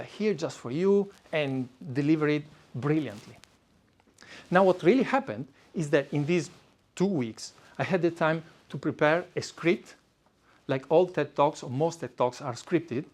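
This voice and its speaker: A middle-aged man with an Italian accent gives a live talk to an audience as a speaking coach, talking conversationally with frequent fillers and restarts. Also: on the high side. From Italy. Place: event room before a live audience.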